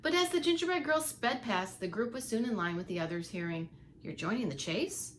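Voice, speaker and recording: A woman reads a children's story with a bright, higher-pitched voice. She narrates expressively, leaning into dramatic emphasis as a preschool teacher would. Some background noise is present. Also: brisk. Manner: animated, dramatic emphasis for young listeners. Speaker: preschool teacher reading aloud.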